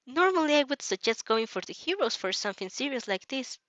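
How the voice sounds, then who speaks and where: Fan audio story: woman as kindhearted teen boy, bashful, soft-mannered, reassuring, pitched high. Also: big pitch swings, brisk, dry recording, highs cut off. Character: shy, kind, pure-hearted teenage boy.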